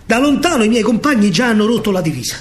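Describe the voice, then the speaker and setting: A man's voice: sits high, big pitch swings, fast talker. A man with a Roman Italian accent performs a theatrical verse monologue as a stage storyteller, declaiming emphatically in rhythmic phrases.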